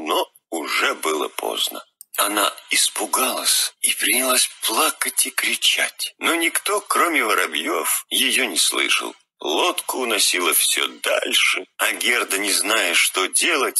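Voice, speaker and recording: Husky, lively fairy-tale narrator man. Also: deep voice, a little slow, no room sound. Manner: expressive, lively storytelling. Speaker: storyteller.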